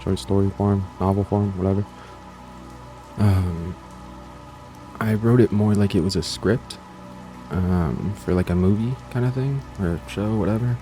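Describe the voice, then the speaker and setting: A man talks casually in a low, mellow voice, as a creator addressing his audience. He rambles in an offhand way, circling back and letting thoughts trail off. Music plays behind him. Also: a little slow, pauses often. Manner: offhand, repeats himself and trails off. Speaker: creator and host of an audiobook channel. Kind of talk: casual rambling introduction to viewers.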